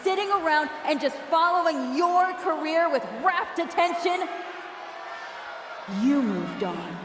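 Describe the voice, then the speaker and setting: A bitter female wrestling promoter from a video game, high-voiced and deliberate. Every sarcastic, menacing phrase is drawn out and rings through a reverberant arena PA, with a noisy crowd behind it. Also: lively intonation, exaggerated voice. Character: bitter, jealous woman wrestling promoter turned villain. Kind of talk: theatrical in-ring promo speech. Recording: echoey arena PA with crowd noise.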